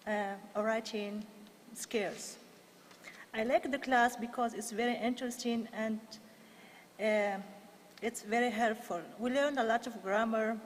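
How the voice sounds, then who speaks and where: Female speaker, Moroccan accent, hesitant simple speech, echoing hall with faint background noise. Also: a little high. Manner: halting, hesitant delivery. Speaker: English student giving a testimonial. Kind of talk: simple prepared public speech. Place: large indoor hall, public event. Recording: noisy echoey hall.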